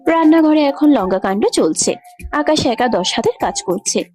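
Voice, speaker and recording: A woman's voice: high-pitched, lively intonation, normal speed, darkish tone. A woman reading scripted narration for a romantic audio story. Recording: background music under the voice.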